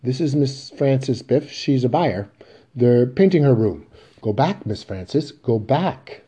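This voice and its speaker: A man's voice: on the low side, animated, a little slow, mellow, breathy edge. A man voicing an anxious middle-aged salesman and father in a play reading, blustering and flustered.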